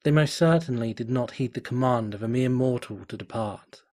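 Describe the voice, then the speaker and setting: A man reading a fan story aloud as narrator, in a steady, measured way. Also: mid-range voice. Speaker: fanfiction reader.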